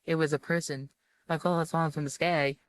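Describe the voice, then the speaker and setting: A woman reading visual novel narration aloud in an informal, halting way, often stumbling over her words. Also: deep voice, flat delivery. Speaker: video game streamer reading aloud.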